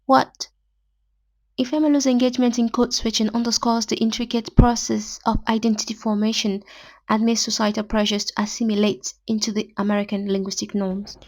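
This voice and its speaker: A woman's voice: fairly high pitch, flat delivery, a little fast, darkish tone, no room sound. A young Nigerian woman with a Nigerian accent, hosting a podcast and reading a prepared literary essay in formal, academic wording. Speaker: podcast host.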